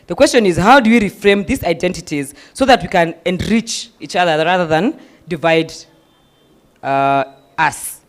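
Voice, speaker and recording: Kenyan male moderator, high voice, animated, mixes in Swahili. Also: moderate pace. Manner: animated, mixes Swahili phrases, repeats himself. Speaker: forum moderator. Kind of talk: panel moderation at a town hall. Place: university hall forum.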